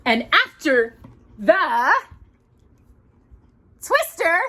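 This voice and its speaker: A woman telling a children's story in an expressive, dramatic manner, drawing out key words. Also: high voice, extremely slow. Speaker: storyteller, mother reading to her daughter. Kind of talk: children's storytelling with bits of song.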